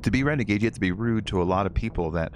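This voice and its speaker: Deep-voiced young man streaming a game. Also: a little hiss or hum. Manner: relaxed, deliberately quiet. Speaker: gaming streamer. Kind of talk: casual let's-play commentary. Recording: game music in background.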